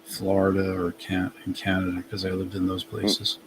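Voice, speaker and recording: A man's voice: sits low, monotone, normal speed, noisy background. A man with a light Massachusetts accent, a guest in a casual live chat, correcting people in a plain, matter-of-fact way.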